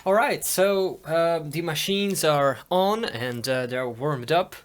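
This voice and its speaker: A man giving a casual hands-on tutorial, talking in a halting way as he thinks aloud. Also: fairly high pitch. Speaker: audio hobbyist demonstrating tape machines.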